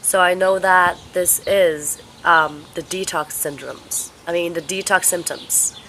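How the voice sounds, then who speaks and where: A young woman vlogs from home with a congested, stuffed-up voice. Her tone is bright and forward but sits a bit low. She talks slowly and haltingly, worn out, with a faint hiss in the background. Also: steady tone. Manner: slow, halting, tired delivery. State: congested, sniffly with a cold. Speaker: vlogger. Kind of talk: casual vlog chat. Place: home, indoors.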